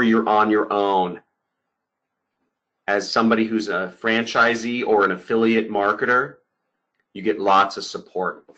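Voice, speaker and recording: A man presenting a sales webinar over slides, talking in an energetic, emphatic, salesy way. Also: on the low side, lo-fi sound.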